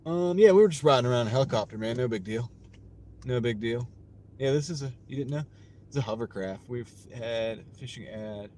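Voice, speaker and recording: A man's voice: mid-range voice, a little fast. A man chatting casually on a live stream while driving his delivery route, joking and rambling, laughing at his own jokes. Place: inside a moving car. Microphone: wireless clip-on mic. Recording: distant mic with loud road noise.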